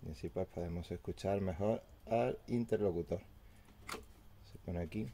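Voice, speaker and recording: Male product reviewer, lowish voice, plain explanatory delivery, casual unboxing, some background noise and crinkling. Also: moderate pace, warm tone.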